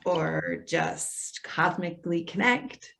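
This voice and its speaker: A woman's voice: lowish voice, takes it slowly, vocal fry, fairly bright tone, exaggerated voice. A woman playing an earnest, apologetic social worker in readers theater, speaking with careful, placating hesitation. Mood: flustered, apologetic.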